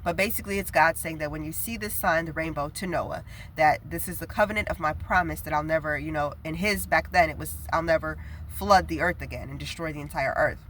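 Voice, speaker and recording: A woman's voice: low voice, fairly quick, a bit bright, a little hiss or hum, low-quality recording. A young woman, nasal from a head cold, sharing a prophetic message in an earnest, chatty, rambling way. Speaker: prophetic video preacher. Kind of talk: casual spoken prophetic message.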